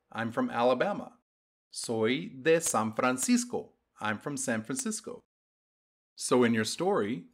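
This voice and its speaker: Slow, patient male language teacher. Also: medium pitch, breathy edge. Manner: slow, deliberate modeling of phrases for learners. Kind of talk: instructional lesson narration.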